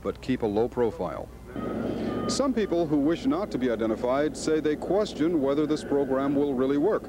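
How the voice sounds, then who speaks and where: A man's voice: medium pitch, expressive, moderate pace, noisy background. An American man narrating a scripted television news field report.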